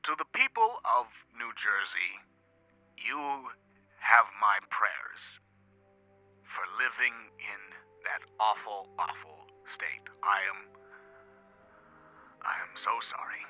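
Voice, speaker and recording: A man playing a doddering old war veteran, halting and stammering as he gives a comic dramatic reading of a Christmas poem. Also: lowish voice, very animated, pushed and bright, dull audio, faint music behind, exaggerated voice. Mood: rambling, grave. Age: older. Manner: halting, stammering, throat-clearing, wandering off on tangents.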